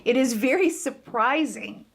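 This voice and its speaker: A woman's voice: high-pitched, expressive, measured pace, vocal fry, breathy edge. Middle-aged american woman, earnest and plainspoken, interview answers. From United States. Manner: earnest, plainspoken, laughs lightly. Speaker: local businesswoman. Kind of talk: interview testimony.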